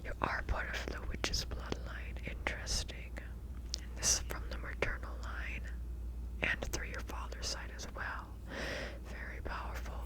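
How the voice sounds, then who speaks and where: A woman whispering an ASMR roleplay as a kindly witch who reads tarot, softly and unhurriedly, repeating words and using terms of endearment. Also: rough recording. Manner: soft, unhurried, repeats words, affectionate address.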